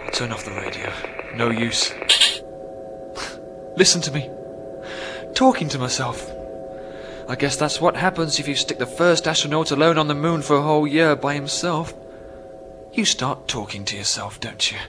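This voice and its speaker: An actor playing a lonely, stranded astronaut fraying on a moon base in a radio drama, emphatic and agitated. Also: male voice, on the high side, animated, a little slow, pauses often, noisy background. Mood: muttering, uneasy. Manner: emphatic, self-addressing, agitated.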